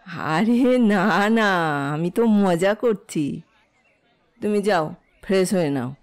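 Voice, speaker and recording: An actress as a middle-aged aunt in a family audio drama. She has a medium-pitched voice and a good-humoured, gently persuasive manner. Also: slow. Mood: playful. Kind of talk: audio story acting.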